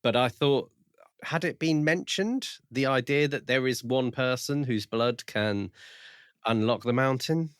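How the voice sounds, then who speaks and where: A man co-hosting a podcast, thinking aloud in a measured, tentative way during a casual book discussion. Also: medium pitch, clean tone, no room sound, studio quality. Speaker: podcast co-host reviewing books.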